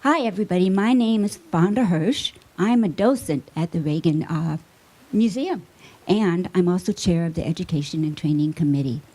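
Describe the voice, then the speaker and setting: Woman with an American accent, museum docent, friendly, slightly low voice. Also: lively intonation, normal speed, warm tone. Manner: friendly, cheerful self-introduction.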